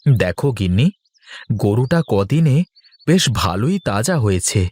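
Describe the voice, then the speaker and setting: An actor playing a confident, easygoing village husband in a cartoon folktale. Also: male voice, fairly low pitch, very animated, measured pace, darkish tone, no room sound. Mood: content. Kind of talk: Bengali cartoon folktale dialogue.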